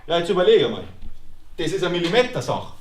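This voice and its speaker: A man's voice: on the high side, big pitch swings, brisk, slight background noise. Male teacher in an echoey classroom. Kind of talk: explaining a geometry task to pupils.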